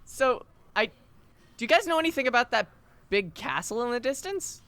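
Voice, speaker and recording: A woman's voice: a little high, sing-song, moderate pace, fairly bright tone, no echo. A woman improvising in-character comedy banter in a story, with faint noise and clicks behind.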